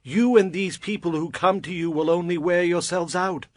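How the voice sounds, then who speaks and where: A man's voice: high voice, fairly even intonation, normal speed, natural voice. An actor playing an earnest, wise older father-in-law in a dramatized scripture production, speaking in an emphatic, declamatory way. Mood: firm advice.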